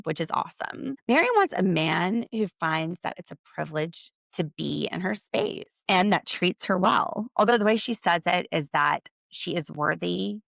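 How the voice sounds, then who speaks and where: A woman's voice: low-pitched, gravelly, a bit bright, dry recording. A woman hosting a podcast, giving casual, opinionated solo recap commentary with a sardonic edge. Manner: opinionated, sardonic, quotes others conversationally. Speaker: podcast host.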